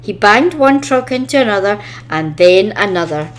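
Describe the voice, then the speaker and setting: A woman's voice: medium pitch, lively intonation, normal speed. Woman reading a children's storybook aloud. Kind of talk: expressive scripted children's story narration.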